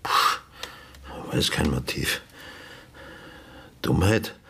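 An actor playing an older widowed father, haunted and insistent in his grief, speaking haltingly and hushed in a husky, creaky voice in a radio drama. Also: very deep voice, animated, full character voice. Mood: bitter, insistent. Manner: halting, hushed, often near a whisper.

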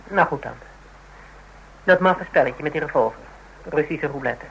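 A caring woman who probes and exclaims in a radio play. She is expressive and quick to be appalled. The recording carries a faint noise. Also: deep voice, rough recording. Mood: probing, upset. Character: caring, curious, easily appalled woman in a couple. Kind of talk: Dutch radio drama acting.

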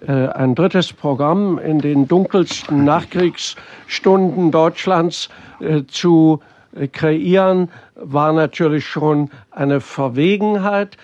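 Man, halting formal interviewee, unhurried delivery, slightly dull recording. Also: on the high side, a little mellow. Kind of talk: considered interview recollection. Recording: dull, muffled sound.

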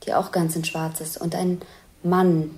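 An actress playing an observer who precisely and plainly describes scenes one by one in a radio drama. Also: female voice, lowish voice, little pitch movement, unhurried, a little mellow. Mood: matter-of-fact. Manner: matter-of-fact, precise.

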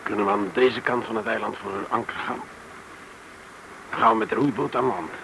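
An actor playing a brisk, decisive man leading a covert landing in a radio play. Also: lowish voice, steady tone, a bit bright. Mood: businesslike. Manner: terse, decisive. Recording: faintly noisy old radio recording.

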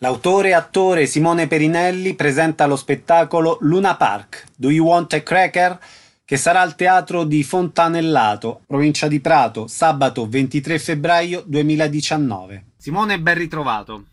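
A man's voice: fairly high pitch, moderate pace, faint music behind. A man hosting a radio interview and presenting event listings. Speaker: radio host.

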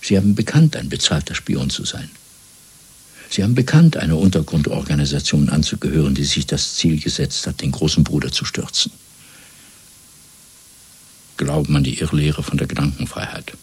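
An actor playing a cold, controlled interrogator in a 1970s German radio drama, speaking in a measured, deliberate, menacing way. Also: male voice, rumbling low, lively intonation, breathy edge, full character voice. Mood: cold, accusing. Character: cold, controlled male Party interrogator and torturer.

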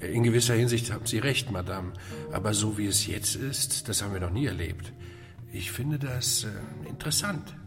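A lowish male voice as a curious, affable neighbour in a radio play. He muses courteously in a long reverb with music behind. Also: normal speed, gravelly. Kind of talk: radio drama acting.

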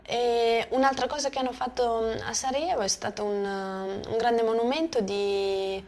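A woman's voice: fairly high pitch, steady tone, normal speed, a little mellow. A woman from Bosnia, a native Bosnian speaker, giving informal conversational commentary and often checking for agreement with tag questions. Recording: faint background noise.

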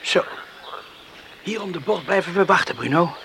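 An actor playing an eager, jealous, lovestruck country lad in a Dutch radio drama, pleading and insistent in a boyish way. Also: male voice, high-pitched, expressive, moderate pace, creaky, pushed and bright, slight background noise, exaggerated voice. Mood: coaxing, waiting.

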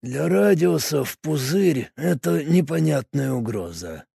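Male reader doing a zealot cultist: slow, rasping, hushed, preachy. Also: expressive, no echo, clean studio sound, full character voice. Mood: solemn preaching. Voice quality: low, creaky, husky murmur. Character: fanatical male cult adept, calm, solemn. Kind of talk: audiobook character voice.

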